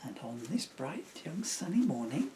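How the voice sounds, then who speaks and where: A man with very lively, swooping pitch reads like a dad at storytime. He takes his time and slips in self-teasing asides. There is clear noise behind him. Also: measured pace. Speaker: father reading a children's story aloud. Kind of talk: playful storybook reading with chatty asides.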